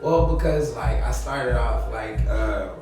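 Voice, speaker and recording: A man's voice: mid-range voice, slow. Slangy American man, distant in echoey room. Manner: slangy, expressive, informal. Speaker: interview guest, videographer and actor. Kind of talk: casual interview chat. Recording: very echoey room, distant mic, music behind. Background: music.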